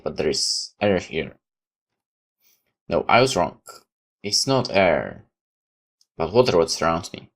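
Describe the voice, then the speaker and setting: A man's voice: low voice, a bit bright. A man reading a visual novel aloud in first person, sometimes stepping out of the narration for casual comments. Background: background music.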